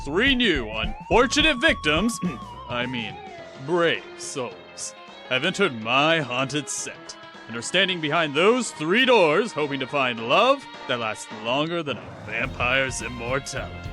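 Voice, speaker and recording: A man's voice: a little high, very animated, unhurried. A man voicing a theatrical monster host of a spooky dating show in a visual novel, with grandiose showman patter over music. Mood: playfully sinister. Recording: music bed behind the voice.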